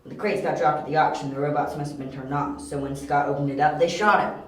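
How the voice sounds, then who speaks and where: A man's voice: on the high side, steady tone, fast, fairly bright tone, slight background noise, natural voice. Guy acting a young detective in an audio drama, explains everything insistently, super echoey room. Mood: deducing. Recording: very echoey, roomy amateur recording.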